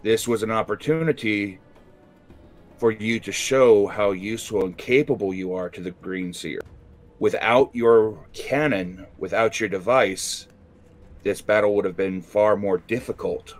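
A man voicing a courteous, grave adventurer who speaks in a formal, deliberate way. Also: on the low side, lightly acted. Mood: reassuring. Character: courteous, grave male adventurer seeking knowledge. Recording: music behind the voice.

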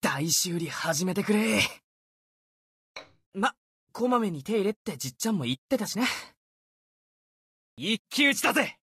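A man voicing a brash, boastful young sword warrior for an anime-style game, youthful and husky-edged, with cocky, casual boyish speech. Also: high-pitched, big pitch swings, measured pace, long pauses, slight background noise, exaggerated voice. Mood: hurt, strained. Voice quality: youthful, bright, husky edge.

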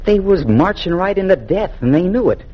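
Earnest young American soldier, muffled old radio. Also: male voice, high-pitched, big pitch swings, brisk, husky, heavily acted. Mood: haunted, confiding. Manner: folksy, hesitant, plainspoken rural speech. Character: earnest, humble young Union soldier from Springfield. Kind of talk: 1940s radio drama acting.

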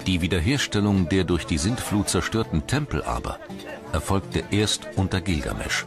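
A man narrates a documentary from a script in standard German. His voice sits very low, and music plays clearly behind him. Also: lively intonation, normal speed. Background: background music.

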